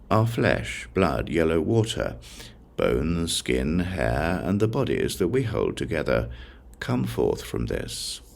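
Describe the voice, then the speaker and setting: A man reading a sacred text as an audiobook narrator, in a solemn, measured way with deliberate pauses between short phrases. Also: deep voice, creaky.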